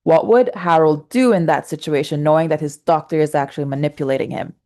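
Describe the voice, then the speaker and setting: A woman's voice: sits low, fairly quick, mellow. A woman hosting an interview who laughs easily and speaks with many fillers. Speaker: interview host. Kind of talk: casual interview conversation.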